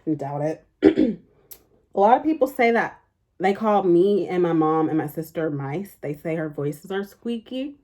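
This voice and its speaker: A young adult woman chatting casually through a makeup tutorial in a fairly deep voice, rambling with lots of fillers. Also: lively intonation, unhurried, warm tone. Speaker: beauty vlogger.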